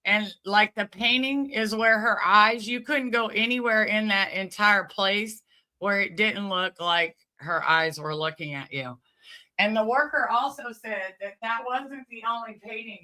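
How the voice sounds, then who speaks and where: A woman's voice: medium pitch, bright, forceful tone. A woman giving casual, chatty commentary, talking informally and directly to her viewers. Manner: informal, conversational, addresses listeners directly. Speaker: host of a commentary channel.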